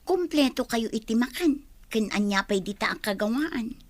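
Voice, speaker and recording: A high-pitched young female character, played by a woman for radio drama. She is outspoken and headstrong, with emphatic phrasing and a plaintive edge. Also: lively intonation, moderate pace, dry recording. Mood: teasing complaint.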